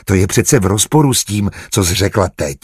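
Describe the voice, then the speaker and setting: A man reading an audiobook, voicing a kind, decent middle-aged master of a household who reasons calmly and gently. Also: on the low side, husky, no echo, clean studio sound. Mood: reasoning. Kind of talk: audiobook character voice.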